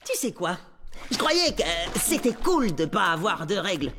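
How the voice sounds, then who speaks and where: An actor voicing an excitable preteen amoeba schoolboy in a French cartoon, with slangy, dramatic delivery. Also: mid-range voice, normal speed. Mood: earnest. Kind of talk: French cartoon dub acting. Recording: loud music behind the voice.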